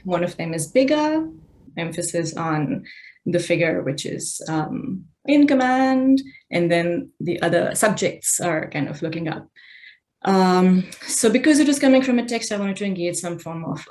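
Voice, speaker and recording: A woman with a lowish voice whose first language is Urdu talks through her own artwork. She speaks thoughtfully and haltingly, restarting her sentences often. The sound comes through a video call. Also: darkish tone. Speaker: exhibiting artist. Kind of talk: explaining her own artwork in a casual talk.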